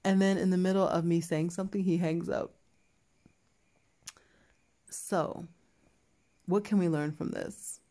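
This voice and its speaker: A woman's voice: on the low side, steady tone, unhurried, long pauses, warm tone. A woman telling a personal story to her viewers in a chatty way, full of fillers and restarts, acting out both sides of a conversation. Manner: chatty, many fillers and restarts, quotes others in dialogue. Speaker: vlogger. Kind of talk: casual storytelling to camera.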